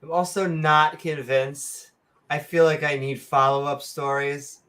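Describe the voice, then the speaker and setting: A woman co-hosting a comedic chat podcast with an unhurried, drawn-out delivery. She is sarcastic and animated, veering into quick asides and mock outrage. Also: low voice. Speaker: podcast co-host.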